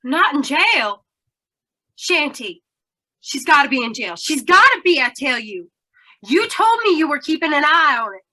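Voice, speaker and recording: An actress plays a hard, aging midway woman from a noir radio play. Her voice is raised and sharp, with a forceful ring. She talks in clipped, brassy slang and trusts nobody. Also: high voice, sing-song, unhurried, heavily acted. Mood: alarmed. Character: tough, suspicious aging carnival owner woman, sharp-tongued. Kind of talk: 1940s radio noir acting.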